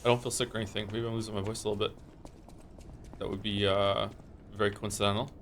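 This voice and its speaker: Slightly croaky fast-talking man. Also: lowish voice, level tone, a bit bright, a little hiss or hum. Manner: thinks aloud, self-correcting and rambling. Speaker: streamer playing a video game. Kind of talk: casual gameplay commentary, reading game text aloud.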